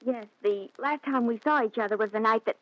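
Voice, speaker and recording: A woman playing a gentle, forgiving, uneasy former sweetheart in a refined, hesitant 1940s radio drama style. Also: fairly high pitch, fairly quick, natural voice. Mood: tender. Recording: dull vintage 1940s radio recording.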